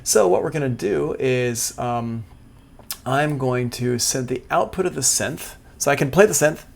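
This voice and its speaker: A man teaching music production in a live software tutorial, talking casually and thinking aloud as he works. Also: medium pitch, animated, a little slow, gravelly. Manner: casual, informal. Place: indoors, livestream class.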